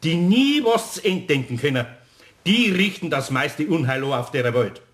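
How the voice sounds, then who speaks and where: A man's voice: fairly high pitch, big pitch swings, moderate pace, fairly bright tone. An actor playing a grumbling but kind-hearted older Bavarian craftsman in a children's radio play, scolding in a folksy way. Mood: stern, scolding.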